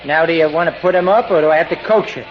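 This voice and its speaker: Wary male cop played by an actor, terse and hard-boiled, pitched high, noisy vintage radio. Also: very fast, lightly acted. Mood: suspicious. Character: gruff, suspicious male police detective, easily embarrassed. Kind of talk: 1940s radio drama acting. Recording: noisy, dull old radio recording.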